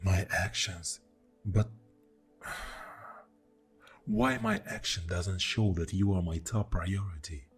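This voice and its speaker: A man playing an exasperated, defensive boyfriend in an ASMR audio roleplay, speaking in a deep, soft voice with heavy sighs. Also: steady tone, faint music behind. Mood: pleading, earnest. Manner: slow, pausing, with heavy sighs. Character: exasperated, defensive boyfriend arguing with a jealous partner.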